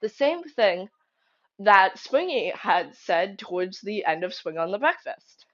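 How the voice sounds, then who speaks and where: A woman's voice: mid-range voice, very animated, unhurried, a bit bright. A young woman giving casual fan commentary, conversational and emphatic, thinking aloud as she goes. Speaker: fan theory video creator. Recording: slightly dull lo-fi home recording.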